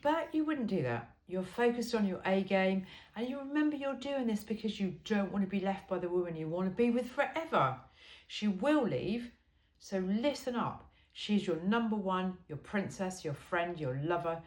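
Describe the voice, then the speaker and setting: Female amateur reader, medium pitch, wry conversational delivery with emphasis, recorded in an echoey room. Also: lively intonation, normal speed, darkish tone. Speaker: amateur reader of a humorous self-help book. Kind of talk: informal book read-aloud with chatty asides. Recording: roomy, echoey sound.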